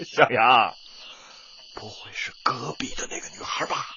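A man's voice: medium pitch, lively intonation, slow, a crowd in the background. An actor playing a brusque but fond young male tutor in a radio drama, teasing in colloquial, exclamatory speech. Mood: teasing, amused. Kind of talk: Chinese radio drama acting. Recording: dull lo-fi radio drama with music.

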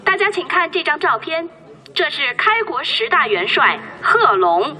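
A woman's voice: high voice, very animated, unhurried, sharp tone, faint music behind, full character voice. A woman playing a proud museum guide explaining history formally to visitors in a radio drama.

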